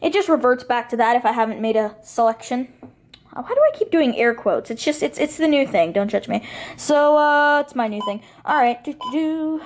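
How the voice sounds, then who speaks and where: A woman's voice: high voice, lively intonation, moderate pace. A woman talking casually and rambling through commentary as the host of a hobby video.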